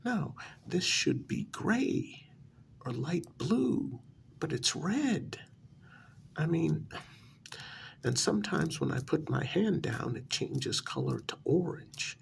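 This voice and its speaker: A man playing a smug, deluded asylum inmate in a soft-spoken ASMR role-play, breathy and half-whispered, talking down to his listener in a halting, condescending way. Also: fairly high pitch, lively intonation, a little hiss or hum, full character voice. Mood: musing. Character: deluded male asylum inmate, smugly convinced he created the world.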